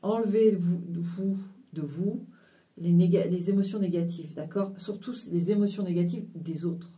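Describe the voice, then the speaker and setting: A woman giving a casual spiritual tarot reading to her viewers, halting and full of fillers and restarts, now and then slipping in English phrases. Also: lowish voice, dark tone, recorded from a distance. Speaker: tarot card reader.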